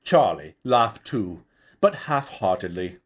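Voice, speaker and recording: A man reading fiction aloud as a storyteller, with dramatic, deliberate and expressive audiobook delivery. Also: lowish voice. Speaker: actor reading a novel chapter. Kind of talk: dramatic scripted audiobook narration.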